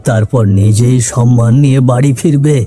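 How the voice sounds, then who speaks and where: A man's voice: mid-range voice, fairly even intonation, normal speed, mellow, soft background music, lightly acted. A man playing an earnest, guilt-laden older village man explaining things in a cartoon story. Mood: grave, explaining.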